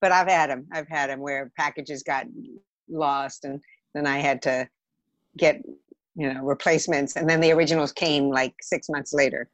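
An American woman chatting casually as a podcast guest, halting and pausing between thoughts. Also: low voice, steady tone, no echo. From Los Angeles area. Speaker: podcast guest, theatre director and writer. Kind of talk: casual chat.

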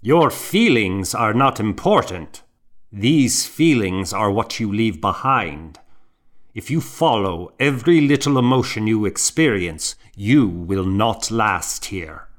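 A man's voice: fairly low pitch, lively intonation, slow. A man reading the part of a stern, cold monk who serves as the abbot's assistant, speaking in a clipped, disapproving way. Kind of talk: audiobook character voice.

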